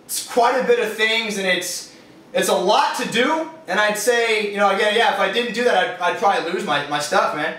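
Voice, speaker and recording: High-pitched young Californian man, very echoey. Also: normal speed, fairly bright tone. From California, USA. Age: 20s. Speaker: interviewee, fitness business owner. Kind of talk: casual interview answers. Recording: echoey, distant microphone.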